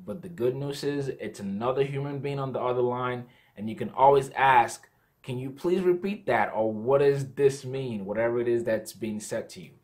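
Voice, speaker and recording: A man's voice: mid-range voice, normal speed, a little mellow. A young man talking casually to camera as a host and pilot, explaining in plain words. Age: young adult. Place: small indoor room at home.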